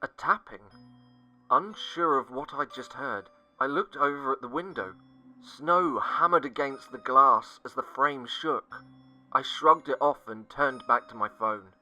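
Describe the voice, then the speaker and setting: A man narrating a scripted first-person horror story, dramatic and sinking to hushed tones at the tense moments. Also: mid-range voice, measured pace, low-quality recording. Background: faint music.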